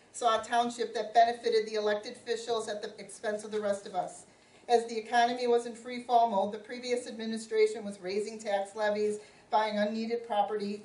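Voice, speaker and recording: Middle-aged woman, loud and plainspoken, slightly high voice, heard from across a roomy hall. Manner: loud, plainspoken, self-joking. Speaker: township supervisor candidate. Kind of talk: opening statement at a community forum. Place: community meeting room. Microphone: no microphone, picked up from a distance. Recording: roomy, distant, faint room noise.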